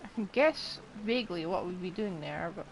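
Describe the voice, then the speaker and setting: A woman's voice: lowish voice, expressive, moderate pace, slight background noise, low-quality recording. A woman giving casual, playful gameplay commentary, muttering reactions to herself as she streams. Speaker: game streamer.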